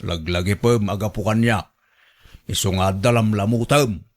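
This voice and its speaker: A man's voice: fairly low pitch, fairly even intonation, normal speed, natural voice. A man acting a bossy, scolding Ilocano husband. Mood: bossy, demanding. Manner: domineering, nagging. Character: bossy, scolding husband who orders his wife around. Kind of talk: Ilocano radio drama acting.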